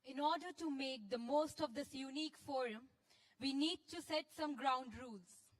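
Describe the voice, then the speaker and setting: A woman's voice: high voice, moderate pace. A woman moderating an event, making a formal scripted announcement to an audience.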